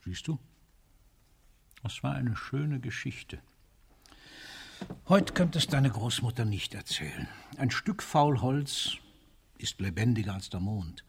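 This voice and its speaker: An actor playing a world-weary, cynical military ruler in a radio drama, speaking in a bitter, deliberate, rhetorical way. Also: male voice, on the low side, vocal fry, husky, full character voice. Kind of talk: theatrical radio drama monologue.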